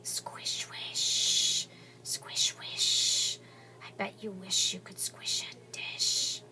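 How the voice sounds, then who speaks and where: A woman's voice: mid-range voice, flat delivery, extremely slow. A woman reading aloud, voicing the imaginary voices a boy hears, whispered and teasing in a sing-song way. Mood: taunting.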